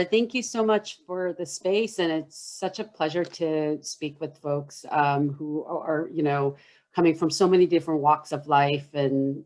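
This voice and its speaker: A woman with an American accent who grew up in India, speaking candidly and with light amusement on a video panel. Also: low voice. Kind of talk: personal panel talk over video.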